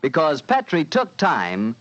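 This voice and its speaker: A man with an American accent, a radio announcer delivering folksy, smooth-talking 1940s advertising patter. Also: fairly high pitch, huge pitch swings, takes it slowly, fairly bright tone. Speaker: radio announcer and sponsor's pitchman. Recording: dull old 1940s radio recording.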